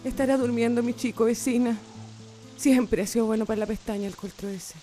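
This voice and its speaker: A woman plays a neighbourly village mother with a Chilean accent in a radio drama. Her voice is soft-toned and somewhat high, full of waiting hope, with faint music behind. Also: fairly even intonation, a little fast, natural voice. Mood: anxious hope.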